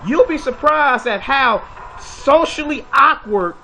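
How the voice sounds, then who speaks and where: High, bright-voiced man lecturing bluntly. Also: expressive, slow, vocal fry, a little hiss or hum, poor audio. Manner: preachy, blunt, lecturing with rhetorical questions. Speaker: commentary video host. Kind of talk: opinionated commentary monologue.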